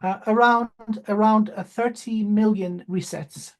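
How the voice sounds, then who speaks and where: A man whose first language is Farsi, speaking as an interview guest with many "uh" pauses and emphatic repetition. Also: high voice, steady tone. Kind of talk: spiritual interview talk.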